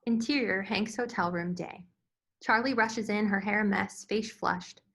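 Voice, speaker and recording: A woman's voice: mid-range voice, unhurried, a bit bright. Female narrator for a screenplay table read, medium register, delivering scene directions.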